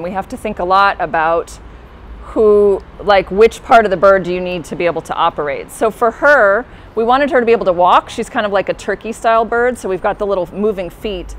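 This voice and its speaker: A woman with a fairly low voice, a puppeteer guest giving casual, friendly explanations with many fillers. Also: expressive, a little fast, a little hiss or hum.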